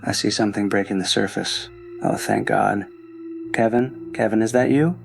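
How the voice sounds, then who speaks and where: A man playing a panicked young man phoning for emergency help in an audio drama, halting and repeating himself. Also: lowish voice, fairly even intonation, creaky, faint music behind. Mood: shocked, distressed.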